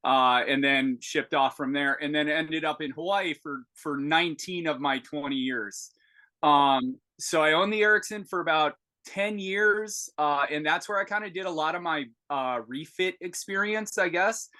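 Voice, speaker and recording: A man in his forties with a bright, fairly high voice, telling his story over a video call. He stops and restarts often, filling gaps with ums and uhs. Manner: halting, many fillers and restarts. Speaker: podcast guest. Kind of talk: casual video-call interview.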